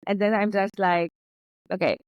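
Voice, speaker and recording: A woman's voice: mid-range voice, fairly even intonation, moderate pace, no echo, highs cut off. A young woman, talking earnestly as a podcast guest, with many fillers. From Poland. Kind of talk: conversational interview.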